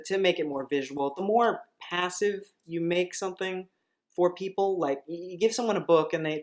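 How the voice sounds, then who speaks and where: A woman hosting a podcast, speaking in a casual, chatty style. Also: low-pitched. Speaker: podcast host and writer.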